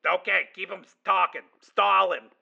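Guy doing a tense newsroom schemer in an audiobook, very creaky, urgent, clipped, kinda dull sound. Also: sits high, steady tone, normal speed, voice pushed up, exaggerated voice.

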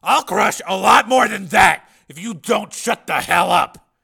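Tough vigilante man narrating in character: curt, commanding, gravelly, sharp-edged menace. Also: on the high side, big pitch swings, normal speed, pitched above the performer's own voice, full character voice. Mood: menacing. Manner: curt, forceful, threatening.

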